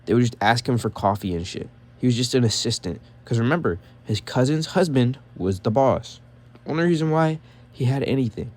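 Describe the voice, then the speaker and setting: A man's voice: medium pitch, lively intonation, fairly quick, a little mellow. A young man ranting casually to his viewers, profane and heated, saying bro constantly and stuttering when he gets mad. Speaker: vlogger.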